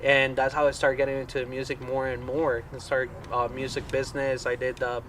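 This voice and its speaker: Bright-voiced young man. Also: mid-range voice, fairly quick, distant mic, slight background noise. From Colombia. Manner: animated, laughing, swearing casually. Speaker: bass player and band guest. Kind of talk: casual storytelling chat.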